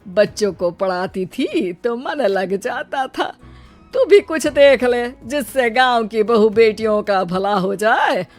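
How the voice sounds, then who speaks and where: Woman playing a slow-talking, kindly mother-in-law. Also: a little high, faint music behind. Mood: kindly advising. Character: kindly, advising middle-aged mother-in-law.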